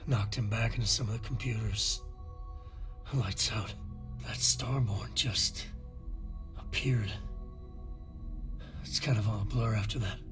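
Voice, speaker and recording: A man's voice: on the low side, measured pace, pauses often, close to an everyday voice. Battered, rueful actor as a male spacefarer, music behind. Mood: weary, regretful. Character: battered, rueful male crew member recounting a defeat. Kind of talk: video game cutscene acting.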